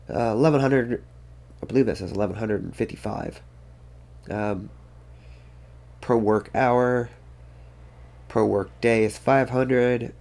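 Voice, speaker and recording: Congested guy streaming a farm game, kinda low voice, rambling and thinking out loud. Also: gravelly. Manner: rambling, thinking aloud to himself and chat. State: congested, sniffly. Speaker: gaming streamer. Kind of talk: casual farming game commentary.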